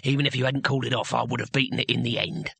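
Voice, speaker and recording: A man voicing a skinny, stubborn old barbarian hero in an audiobook, with gruff, blunt speech. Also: mid-range voice, little pitch movement, rapid-fire, sharp tone, dry recording, full character voice.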